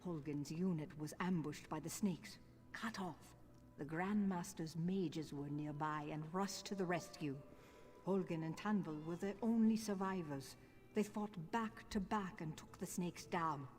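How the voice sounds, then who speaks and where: A woman playing a wry, knowing lore-keeper of a fantasy game guild, telling tales in a deliberate way. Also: fairly low pitch, a little mellow, close to an everyday voice. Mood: storytelling. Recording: game music underneath.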